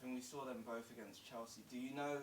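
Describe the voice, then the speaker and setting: A man's voice: medium pitch, steady tone, brisk. A man, a sports journalist asking curious, casually phrased questions at a press conference. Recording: echoey room from a distance, noisy.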